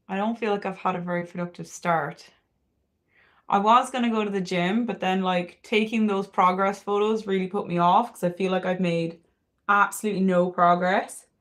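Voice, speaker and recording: Chatty, sweary woman with a Cork accent. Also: fairly low pitch. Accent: Cork Irish. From Ireland, Cork. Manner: chatty, candid, swears freely. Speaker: beauty livestreamer. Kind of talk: casual makeup livestream chat.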